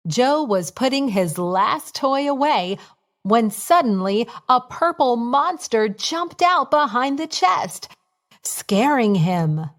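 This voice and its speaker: A woman reading a scripted bedtime story for young children in a simple, expressive storytelling manner. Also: a little high, slow pace.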